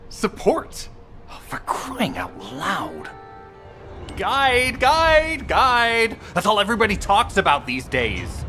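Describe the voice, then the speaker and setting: A man's voice: sits high, pushed and bright, full character voice. An actor playing a cocky, resentful male elite soldier in a video game, with a sarcastic, scoffing, theatrical delivery. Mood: exasperated. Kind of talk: scripted game dialogue.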